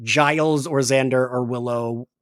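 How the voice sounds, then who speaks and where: A man's voice: medium pitch, steady tone, measured pace, no room sound, studio quality. A man co-hosting a podcast, chatting casually and emphatically as a fan, often slipping into mock dialogue. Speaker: podcast co-host.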